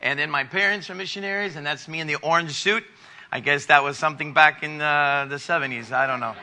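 A man in his forties, a missionary giving a church testimony over slides, halting with many fillers and restarts. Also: fairly high pitch, sharp tone. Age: 40s.

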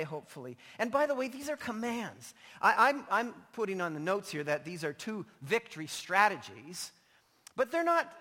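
Male pastor, sharp forceful tone, slightly strained voice, informal emphatic preaching, long hall reverb. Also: on the high side. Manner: emphatic, informal and conversational, with repeated phrases. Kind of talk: sermon. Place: large indoor church hall.

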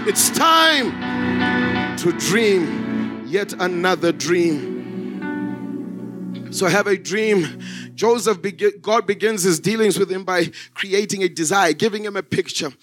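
A man's voice: high-pitched, animated, slow, long pauses, over music. Fervent preaching man from Zimbabwe. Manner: fervent preaching, swelling to shouts. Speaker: pastor. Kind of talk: sermon. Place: church.

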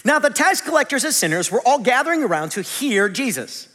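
A man in his forties preaching a conversational sermon, animated and self-deprecating. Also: extremely high pitch, a little fast. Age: 40s. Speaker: pastor. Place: large church hall. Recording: long hall reverb.

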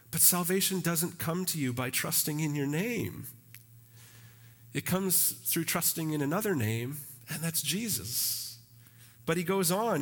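Guy pastor preaching, chatty and animated, kinda high voice, church service. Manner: conversational, animated preaching with casual phrasing. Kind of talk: sermon.

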